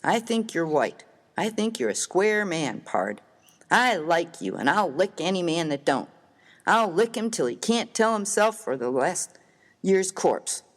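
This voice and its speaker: A man playing a rough, hearty, good-natured American miner of the Old West in a dramatised story reading, with slangy, folksy, emphatic speech. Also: sits high, big pitch swings, measured pace, fairly bright tone, full character voice. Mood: hearty, sincere.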